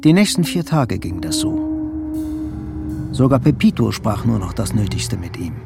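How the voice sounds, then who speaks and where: An actor narrating a crime story in a literary radio play. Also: male voice, mid-range voice, wildly swooping pitch, moderate pace, vocal fry, over loud music. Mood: matter-of-fact. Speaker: second narrator.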